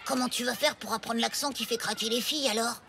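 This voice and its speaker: Cartoon schoolboy, skeptical, blunt, creaky high voice, lively swoops, music behind. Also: female voice, fast talker. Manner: blunt, doubting. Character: skeptical, easily exasperated schoolboy sidekick. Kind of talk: animated series voice acting.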